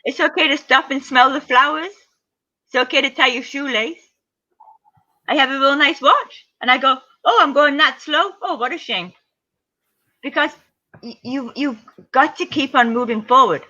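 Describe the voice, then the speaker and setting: A middle-aged woman, a guest athlete, telling lively anecdotes in a casual interview and acting out the voices she quotes. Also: sits high, moderate pace, long pauses, dull audio. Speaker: guest ultra-distance triathlete.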